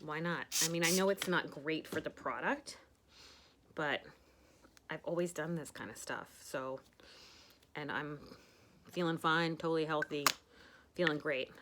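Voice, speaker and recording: Low-voiced woman chatting through makeup. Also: unhurried, pauses often, a bit bright, a little hiss or hum. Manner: chatty, rambling with many fillers. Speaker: makeup tutorial host. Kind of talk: casual makeup tutorial. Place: home.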